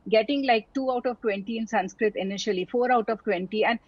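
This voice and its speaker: Middle-aged female doctor from India, earnest and halting, with a slightly high voice. Dry, lo-fi recording. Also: slightly rough, no room sound. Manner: earnest, many fillers and restarts. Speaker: doctor, interview guest. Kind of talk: reflective interview conversation. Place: online town hall talk. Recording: dull, lo-fi audio.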